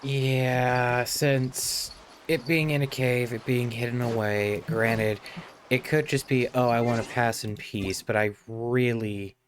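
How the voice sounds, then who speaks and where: A man's voice: mid-range voice, steady tone, takes it slowly, a little hiss or hum. A man streaming a game, giving casual live commentary. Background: game music.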